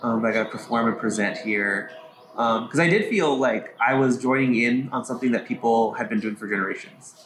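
A man's voice: mid-range voice, a little fast. Male interviewer, mid-range voice, casual and reflective, roomy recording with faint noise behind him. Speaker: host. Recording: echoey space, faint background noise.

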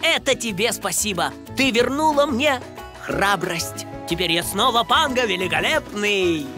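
A woman's voice: mid-range voice, lively intonation, measured pace, natural voice. A woman playing a proud, grandiose pangolin hero in a children's cartoon. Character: proud, theatrical pangolin hero, self-styled magnificent. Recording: music behind the voice.

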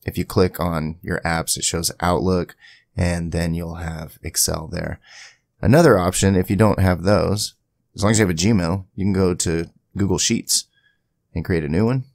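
A man with a deep, creaky voice talks through a software tutorial. The sound is dry and close, with no room ring. His delivery is conversational, dropping in chatty asides as he explains each step. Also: steady tone, normal speed, no echo. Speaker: tutorial video creator. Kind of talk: casual screen-share software tutorial. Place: indoors at a home PC, voice-over to screen capture.

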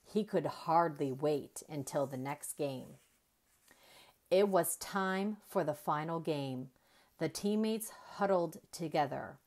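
A woman reading a children's story aloud in an expressive, deliberate storyteller's manner. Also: low voice. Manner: deliberate, animated storytelling with emphasized dialogue.

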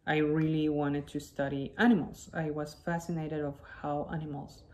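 A man's voice: fairly high pitch, level tone, normal speed, darkish tone. A young man from Ecuador with an Ecuadorian accent, a native Spanish speaker, telling his personal story as a presentation. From Quito, Ecuador. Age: young adult. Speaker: guest, wildlife biologist. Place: indoor living space at home.

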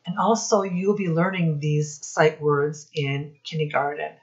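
A middle-aged woman giving a read-aloud lesson to young children, speaking deliberately and instructively as their teacher. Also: low-pitched, fairly even intonation, highs cut off. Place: small indoor room with posters.

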